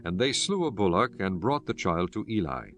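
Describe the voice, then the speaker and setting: Low-voiced male scripture narrator. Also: big pitch swings, a bit bright. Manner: solemn, measured storytelling. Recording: music bed behind the voice.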